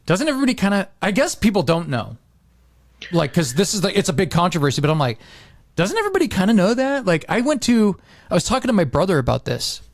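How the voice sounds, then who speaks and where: Higher-voiced American man, rambling podcast chat. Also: dry recording, studio quality. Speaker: podcast host.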